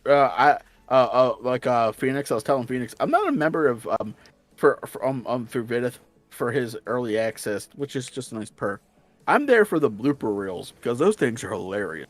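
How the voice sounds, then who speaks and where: A man's voice: medium pitch, sing-song, normal speed. Rambling man with a slight Southern accent. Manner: rambling, restarts often. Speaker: stream guest. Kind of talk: casual chat.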